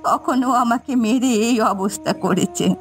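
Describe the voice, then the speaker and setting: Lady voicing a frail old cartoon granny, highish plaintive voice, music behind. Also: moderate pace, creaky. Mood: grateful, soothing. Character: frail, pleading, grateful old village granny. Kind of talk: amateur cartoon dubbing.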